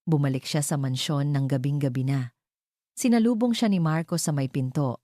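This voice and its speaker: Low-pitched woman narrating a dramatic story. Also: normal speed, warm tone, no echo, studio quality.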